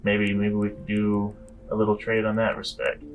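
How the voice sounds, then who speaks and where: A man's voice: on the low side, completely flat delivery, normal speed, faint music behind, close to an everyday voice, rough recording. A man playing a spacer mercenary in a tabletop game, haggling politely and a little tentatively. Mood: cautious, bargaining. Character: bargaining male spacer mercenary named Clive.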